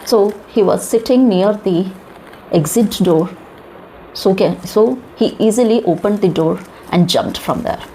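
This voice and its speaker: Slow-talking Indian woman commenting on news. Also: mid-range voice, dark tone, rough recording. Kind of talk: informal news commentary to viewers. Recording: clear background noise.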